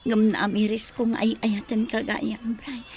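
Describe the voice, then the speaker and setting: A woman's voice: on the high side, level tone, measured pace, darkish tone, soft background music. A woman playing a heartbroken, regretful young woman in a radio drama, pleading and tearful, with a Filipino Ilocano accent.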